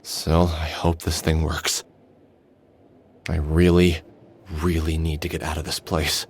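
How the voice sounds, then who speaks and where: A man's voice: very deep voice, flat delivery, takes it slowly, slight background noise, exaggerated voice. An actor playing a weary, sardonic middle-aged man who broods and mutters to himself in a video game. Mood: sardonic, exasperated. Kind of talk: video game voice acting, brooding inner monologue and dialogue.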